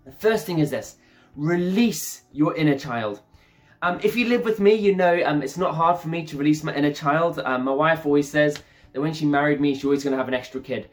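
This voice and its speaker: A man's voice: on the high side, a little fast, soft background music. Enthusiastic chatty male preacher. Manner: enthusiastic, chatty, addresses listeners as guys. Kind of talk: casual conversational sermon.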